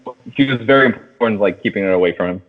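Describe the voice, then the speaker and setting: A man's voice: fairly low pitch, rapid-fire. A man co-hosting a podcast, discussing a film casually and slangily, often restarting his sentences. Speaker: podcast co-host. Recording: thin, phone-like sound.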